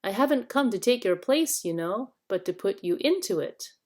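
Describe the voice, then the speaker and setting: A woman voicing a composed, courteous boy king in a storybook, measured and matter-of-fact. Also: medium pitch, expressive, a little mellow, lightly acted.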